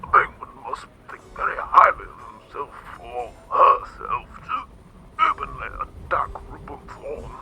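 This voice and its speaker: An actor playing a formal, sarcastic, loyal butler in a radio drama. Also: male voice, fairly high pitch, wildly swooping pitch, a little slow, creaky, pushed and bright, slight background noise, full character voice. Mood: wry, probing. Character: dry, sardonic, loyal male butler.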